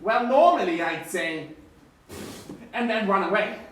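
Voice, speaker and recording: A performer doing a bright grumbling green goblin. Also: medium pitch, expressive, unhurried. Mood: grateful. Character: green goblin, mean thief turning nice. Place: large indoor hall, live puppet show. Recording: echoey hall from far off.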